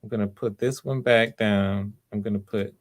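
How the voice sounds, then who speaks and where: A man's voice: fairly low pitch, fairly even intonation, moderate pace. A man hosting online auditions in a casual, encouraging way, often repeating himself. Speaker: audition host. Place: live video stream.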